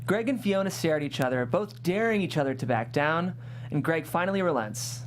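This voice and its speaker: Woman host, lowish voice, very varied intonation, reading and discussing scripts, faint room hum. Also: animated, fairly quick, low-quality recording. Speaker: host and stage-direction reader. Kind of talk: script narration and interview.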